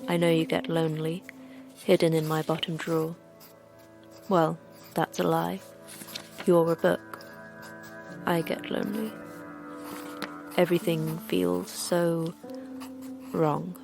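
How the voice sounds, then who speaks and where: A woman's voice: fairly low pitch, level tone, slow, vocal fry, pauses often, a little mellow, soft background music. A woman playing a lonely, wistful girl confiding in her diary in an audio drama monologue.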